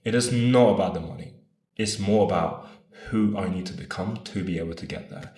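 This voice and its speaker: Deep-voiced young man vlogging in an echoey room. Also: fairly quick, a little mellow. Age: 20s. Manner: earnest, rambling, often adds "right?"; casual swearing. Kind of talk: casual talk to camera. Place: room in Bogota.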